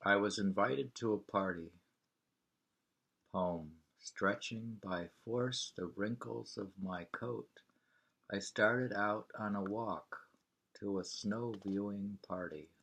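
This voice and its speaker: Slow-reading man with a low voice. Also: gravelly, a bit bright. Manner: halting, deliberate reading. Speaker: reader of a poetry travel sketch. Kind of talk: informal read-aloud of haiku and travel prose.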